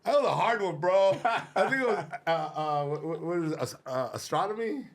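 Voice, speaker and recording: Lively, laughing male podcast host. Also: on the high side, normal speed. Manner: excitable, laughs often, swears and calls his guest bro. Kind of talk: casual podcast chat.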